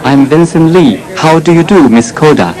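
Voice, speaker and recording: A man's voice: a little high, lively intonation, moderate pace, darkish tone, close to an everyday voice, low-quality recording. A man playing a polite accountant. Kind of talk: scripted textbook dialogue.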